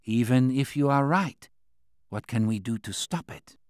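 A man voicing a cautious middle-aged Chinese minister who speaks in a slow, measured, guarded way. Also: mid-range voice, dry recording, clean studio sound, lightly acted.

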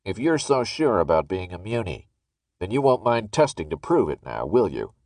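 A man's voice: deep voice, very animated, moderate pace, a little mellow, no room sound, clean studio sound. A man voicing a stern, angry armed guard in an audiobook, barking curt, menacing orders. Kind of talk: audiobook character dialogue.

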